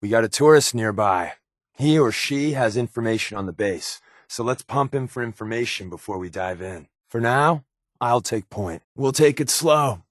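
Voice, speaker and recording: A man's voice: mid-range voice, lively intonation, moderate pace, no room sound, clean studio sound, close to an everyday voice. A man playing a sly, wry undercover resistance agent in a video game, talking in a casual, glib, sardonic way. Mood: businesslike. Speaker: video game companion character. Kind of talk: scripted game dialogue, conversational.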